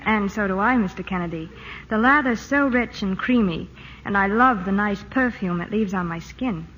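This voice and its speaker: A woman's voice: medium pitch, moderate pace, fairly bright tone. A woman gushing through a scripted radio commercial with sweet enthusiasm. The old broadcast sound is dull and muffled, with faint hiss. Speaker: actress in radio ad.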